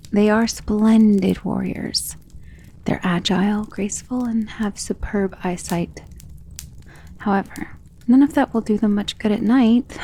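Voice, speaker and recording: A woman playing a powerful, self-assured female vampire, protective and possessive of a lover, in an intimate ASMR romance roleplay that often drops toward a breathy whisper. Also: medium pitch, dark tone. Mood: confident, amused.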